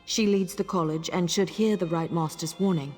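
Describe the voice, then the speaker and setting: An actress playing a solemn, commanding character in a fantasy video game, formal and declamatory. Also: female voice, lowish voice, normal speed, a little mellow, natural voice. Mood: solemn, directing. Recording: long reverb, game music behind.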